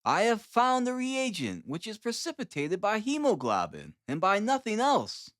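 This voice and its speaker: A man voicing an exuberant, eccentric male scientist in an audiobook, speaking in an excitable, emphatic way. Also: sits high, normal speed, no echo, clean studio sound, pitched above the performer's own voice, heavily acted. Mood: elated. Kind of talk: audiobook character dialogue.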